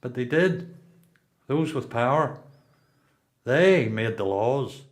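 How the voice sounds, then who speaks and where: A seasoned male prisoner , acted by a man for a radio play. His voice sits at a middle pitch, and he speaks with reflective, questioning self-assurance. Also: expressive, slow. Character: seasoned male prisoner, philosophical, probing, sure of himself.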